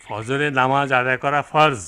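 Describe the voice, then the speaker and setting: A man's voice: medium pitch, fairly even intonation, normal speed, sharp tone, smooth, lightly acted. A man acting a stern, old-fashioned, middle-aged village father in a radio drama, scolding and complaining with a Bangladeshi accent. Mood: nagging.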